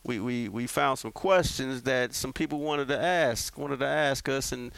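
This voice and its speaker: Young dude hosting a sports pod, loose slangy talk, says stuff twice. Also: male voice, mid-range voice, unhurried, gravelly, fairly bright tone, clear, resonant tone, no room sound. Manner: loose, repeats words, slangy. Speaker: podcast host. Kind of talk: casual sports chat.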